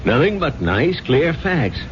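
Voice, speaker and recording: An actor playing a gruff, impatient newspaper editor in a 1970s radio drama, curt and dismissive. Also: male voice, a little high, sing-song, slow. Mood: scolding, irritated. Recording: muffled 1970s radio tape, faint hiss.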